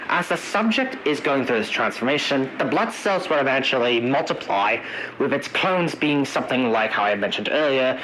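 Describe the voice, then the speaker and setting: A man narrating an analog horror emergency briefing in a halting, wordy way, searching for his words. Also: medium pitch, fairly bright tone, a little hiss or hum, poor audio.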